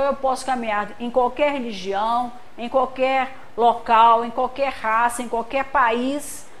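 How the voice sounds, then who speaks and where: A woman from Brazil gives a lecture with a high, light voice. She explains things patiently, uses homely examples and keeps checking that her listeners are following. Her tone is that of a practiced speaker addressing a gathered audience. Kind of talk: spiritist center lecture.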